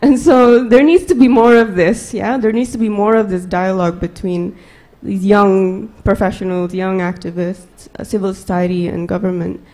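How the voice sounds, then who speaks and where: A woman answering on a panel, speaking with many fillers and pauses. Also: medium pitch, steady tone, a little mellow.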